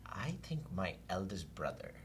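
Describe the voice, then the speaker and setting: A very deep-voiced middle-aged man, a guest who grew up in Saudi Arabia, recalls his past in an unhurried, reflective way, pausing on fillers. A faint hum of room ambience sits underneath. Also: big pitch swings. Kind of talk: casual interview chat.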